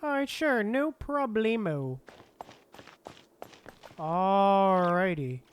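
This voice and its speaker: A laid-back streamer with an androgynous mid-range voice comments casually on a game. The talk is slow and half muttered to themself, over faint background noise and clicks. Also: mid-range voice, expressive.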